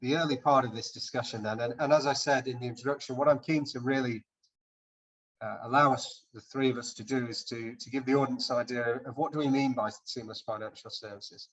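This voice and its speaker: A man moderating an online webinar, speaking in a measured, polite way with small restarts. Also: medium pitch, steady tone. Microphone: video call. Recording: dull lo-fi call audio.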